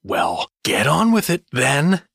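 A man's voice: mid-range voice, huge pitch swings, slow, vocal fry, fairly bright tone, husky, no room sound, clean studio sound, heavily acted. An actor playing a hapless, sarcastic everyman hero in a comedic fantasy audio drama, joking and hesitant. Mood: sarcastic, grumbling.

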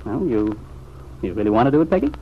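An actor playing a teasing, easygoing young American bachelor cousin in an old-time radio serial. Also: male voice, fairly low pitch, very animated, fast. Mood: surprised, amused. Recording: muffled, noisy vintage radio recording.

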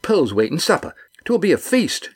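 Man voicing a booming big fisherman, high and hearty. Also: big pitch swings, normal speed, slightly rough. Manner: boisterous, folksy old-fashioned speech. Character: big hearty red-haired fisherman skipper.

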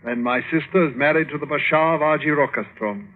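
A man playing a calculating, deadly prince in a classic radio drama, with measured, probing delivery. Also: fairly high pitch, natural voice. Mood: guarded. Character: grown son, calculating killer prince with probing calm. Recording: narrow-band old radio, faint noise.

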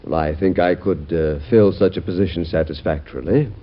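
An actor playing a formal, deferential, efficient secretary in an old-time radio comedy, stiffly courteous. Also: male voice, low voice, a little fast. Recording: dull old radio recording.

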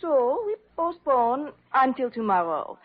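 A woman's voice: sits high, sing-song, unhurried, exaggerated voice. A woman playing a sweet, naively charming French bride-to-be in an old-time radio drama, coy, with a French accent and broken English. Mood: innocent, bright. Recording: dull, lo-fi vintage radio recording.

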